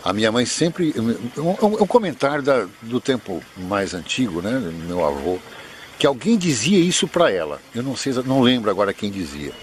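A man's voice: medium pitch, sing-song, moderate pace, a little hiss or hum. A man in his sixties interviewing a friend outdoors in a jokey, chatty way. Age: 60s. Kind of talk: casual chat.

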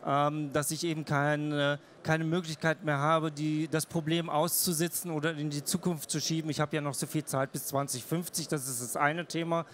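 A man's voice: a little high, little pitch movement, normal speed, few pauses, a bit bright, a little hiss or hum. A man in his fifties, a managing director, making his point emphatically on a trade fair panel with a handheld mic. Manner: earnest, emphatic, repeats points. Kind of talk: panel discussion on stage. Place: large trade fair hall stage.

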